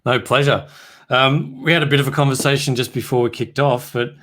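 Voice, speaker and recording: Male podcast host, friendly and chatty, asks open questions, medium pitch. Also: fairly even intonation, brisk, fairly bright tone.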